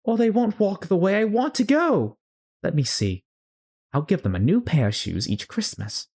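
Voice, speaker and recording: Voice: medium pitch, very animated, normal speed, darkish tone, slightly rough, pitched above the performer's own voice. A storyteller voicing a dramatic, bewildered young girl who frets and scolds herself in a storybook. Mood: fretful, musing. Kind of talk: storybook character read-aloud.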